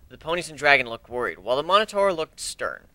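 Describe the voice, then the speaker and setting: A man's voice: a little high, big pitch swings, quick pace, bright, forceful tone, no room sound. A man giving an amateur dramatic reading of a fan fiction story in a theatrical manner. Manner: theatrical, acts out dialogue.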